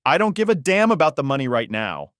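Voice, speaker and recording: A man's voice: fairly low pitch, animated, fairly quick, a bit bright, no room sound, studio quality. A man playing a bitter, betrayed husband in an audiobook, icy, sarcastic and accusing. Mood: furious, bitter.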